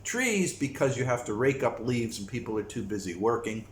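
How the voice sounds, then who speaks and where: A man from the United States talking slowly and reflectively to his viewers in a casual vlog. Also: medium pitch, sing-song. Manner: slow, reflective, with frequent pauses. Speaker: vlogger. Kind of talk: casual talk to camera. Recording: echoey room with faint noise.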